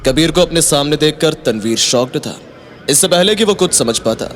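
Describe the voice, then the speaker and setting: A man's voice: fairly high pitch, lively intonation, normal speed, faint music behind. A man giving scripted, dramatic narration of an audio story.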